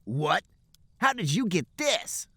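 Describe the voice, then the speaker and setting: A man's voice: sits high, sing-song, slow pace, sharp tone, husky, dry recording, pitched above the performer's own voice, full character voice. A man voicing an anxious, dramatic teenage male cartoon hedgehog hero with stilted delivery. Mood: alarmed, indignant.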